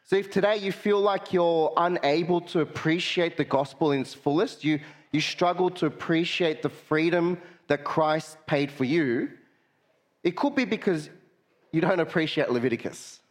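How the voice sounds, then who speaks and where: A man's voice: a little high, normal speed. A young man, a guest preacher with a cold that makes him slightly nasal, giving a friendly sermon full of filler uhs.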